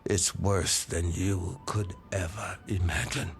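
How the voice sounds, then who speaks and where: Stern older crime lord, low-voiced. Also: male voice, steady tone, gravelly, faint music behind, heavily acted. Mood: bitter, withdrawn. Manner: cold, formal, deliberate menace. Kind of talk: video game cutscene acting.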